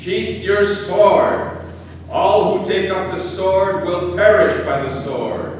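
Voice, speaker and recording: A man acting a grave, sorrowful holy teacher facing his betrayers in a scripture drama. The voice is high for a man and very slow, declaimed solemnly, ringing through a large echoing church. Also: steady tone. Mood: stern, commanding. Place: large church during a service. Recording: very echoey church, distant mic, noisy.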